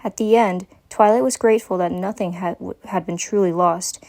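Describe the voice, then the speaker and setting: A woman's voice: fairly low pitch, steady tone, normal speed, darkish tone. Woman narrating a story. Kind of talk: dramatic story reading, scripted narration.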